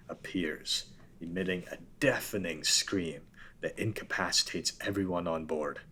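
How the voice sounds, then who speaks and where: A man's voice: low voice, a bit bright, husky. A man narrating a script, reading out scene headings and stage directions. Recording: background room noise.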